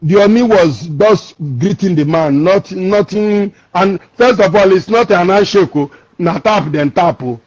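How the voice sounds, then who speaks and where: A Nigerian man from Lagos calls in and explains emphatically, circling back over his words. His voice sits high and husky. The line is dull and lo-fi, and the sound is dry. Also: measured pace, few pauses, darkish tone, dry recording. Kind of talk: call-in discussion.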